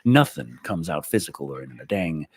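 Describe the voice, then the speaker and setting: A man with a husky voice chats casually as a livestream host. His pitch jumps around a great deal as he rambles excitably, repeating phrases and swearing freely. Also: moderate pace. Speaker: livestream host and film reviewer.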